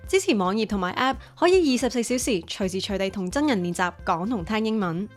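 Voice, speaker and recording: A woman's voice: mid-range voice, animated, fast. Young Hong Kong woman, vlog narration over music. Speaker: host, English teaching YouTuber. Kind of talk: vlog narration mixing Cantonese and English.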